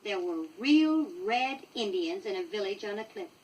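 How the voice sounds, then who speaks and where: An American woman reading a children's story from a script, expressive and clearly paced for young listeners, on a 1960s vinyl record. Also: medium pitch, moderate pace, distant mic, low-quality recording. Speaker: storybook reader.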